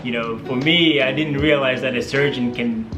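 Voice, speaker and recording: A man's voice: medium pitch, steady tone, normal speed. Young tanzanian guy, eager and rambling, echoey room, music behind. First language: Swahili. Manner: eager, rambling with many fillers. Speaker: medical student guest. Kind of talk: casual interview.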